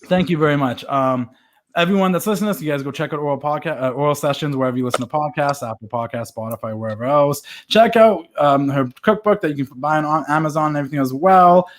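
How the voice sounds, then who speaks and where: A man's voice: on the high side, lively intonation. A man with a West Coast Canadian accent hosting a casual interview podcast, rambling and restarting his sentences. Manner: quick, rambling, restarts a lot.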